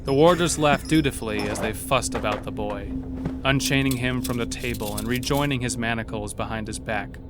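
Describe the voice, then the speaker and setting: A man's voice: mid-range voice, a little fast. Male horror narrator over music. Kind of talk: scripted horror story narration.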